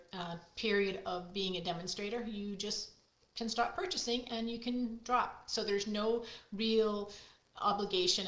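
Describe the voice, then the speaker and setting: A middle-aged woman with a loud, projecting voice, chatting casually with viewers as she hosts a live card-making stream. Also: medium pitch. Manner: chatty, friendly, talks to viewers by name. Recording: echoey room.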